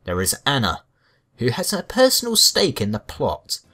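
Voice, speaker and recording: A man's voice: medium pitch, wildly swooping pitch, moderate pace, bright, forceful tone. A man giving a scripted video game review with wry, sarcastic asides. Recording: music behind the voice. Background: background music.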